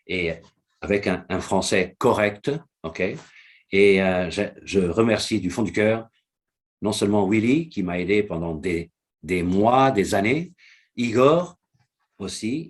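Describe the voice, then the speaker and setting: A man whose first language is Portuguese gives a motivational online presentation to his business network, repeating key phrases and checking in with listeners often. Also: deep voice.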